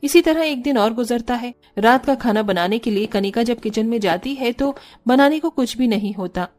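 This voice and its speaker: A woman's voice: a little high, normal speed, darkish tone. A woman narrating a scripted cartoon family story as the storyteller.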